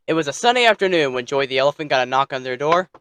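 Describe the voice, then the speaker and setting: A man's voice: fairly high pitch, lively intonation, racing, a bit bright, clean tone. A man telling a bedtime story as narrator, theatrical, with playfully snippy asides.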